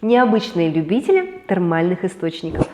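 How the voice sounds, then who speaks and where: Hoarse woman reading chatty weekly news, lively asides, kinda echoey room. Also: fairly low pitch, normal speed. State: hoarse from a cold. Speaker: news show host. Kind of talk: weekly celebrity and travel news roundup.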